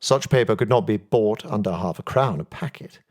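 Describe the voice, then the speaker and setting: A man voicing a modest, courteous doctor, a detective's companion, in a measured and tentative way for an audiobook. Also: fairly low pitch, lively intonation, darkish tone, lightly acted. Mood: thoughtful, deferential.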